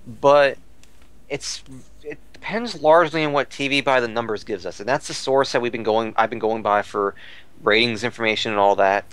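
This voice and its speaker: Clear-voiced man rambling through fan news. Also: medium pitch, normal speed, a bit bright, no room sound. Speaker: podcast commentator. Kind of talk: casual fan news discussion.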